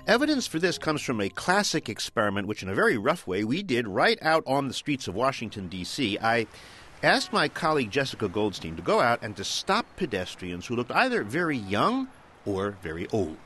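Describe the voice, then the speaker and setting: A man's voice: mid-range voice, very animated, a little fast, fairly bright tone, slight background noise. An American man working as a radio science correspondent, telling a story conversationally and dramatically, repeating and stretching key words.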